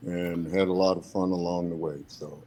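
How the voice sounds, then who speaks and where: A man in his seventies with a husky voice, giving casual, folksy advice as a podcast co-host. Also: deep voice, steady tone, normal speed, mellow, a little hiss or hum. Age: 70s.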